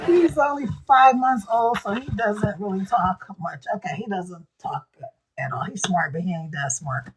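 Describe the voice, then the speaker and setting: An older woman with a scratchy, hoarse voice, chatting folksily to viewers of her phone live stream. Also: medium pitch, moderate pace, few pauses. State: hoarse after two hours of talking. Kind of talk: casual live-stream chat.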